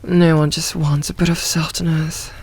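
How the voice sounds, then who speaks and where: Slow, teasing succubus demoness, played by a woman. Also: low voice, level tone, darkish tone, slightly rough, heavily acted. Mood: candid, possessive. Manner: slow, soothing, coaxing, teasing. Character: possessive, teasing female succubus demoness, soft dominant. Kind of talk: ASMR audio roleplay.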